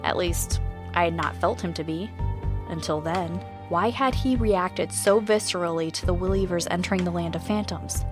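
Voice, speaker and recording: Casting: actress as a sincere, questioning female radio host and podcaster, slightly low register, for a scripted mystery drama. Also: normal speed, close to an everyday voice. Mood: pensive. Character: earnest, doubting woman radio host and investigative podcaster. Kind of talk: scripted audio-drama narration and dialogue. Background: music.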